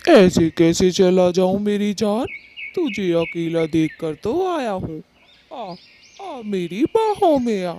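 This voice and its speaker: A woman's voice: medium pitch, very animated, slow pace, dark tone, slight background noise. A woman voicing a vain, lecherous male bird in a Hindi cartoon, swaggering and taunting as he talks. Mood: leering, flirting. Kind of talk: Hindi cartoon moral story dialogue.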